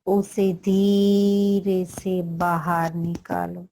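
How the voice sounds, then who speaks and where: A woman with an Indian accent leading a guided meditation, speaking deliberately in short phrases with long pauses between them. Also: medium pitch, flat delivery, vocal fry, warm tone.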